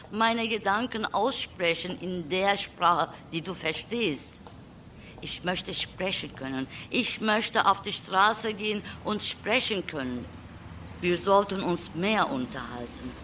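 Earnest radio play woman, slow and insistent, longing to be heard, faint noise behind. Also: lowish voice, fairly bright tone. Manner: deliberate, insistent, repetitive.

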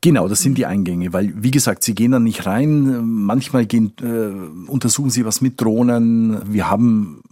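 A man with a fairly low, husky voice talks as a news correspondent. He explains informally, thinking aloud and adjusting his wording, in a very dry recording space. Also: moderate pace, hardly any pauses, warm tone, no echo. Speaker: foreign correspondent. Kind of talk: informal explanatory interview talk.

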